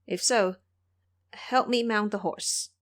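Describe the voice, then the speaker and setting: A woman plays a stern monk master in an audio storybook tale. He is cautious and admonishing, putting measured questions to his disciple. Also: mid-range voice, fairly even intonation, lightly acted. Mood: reasoning.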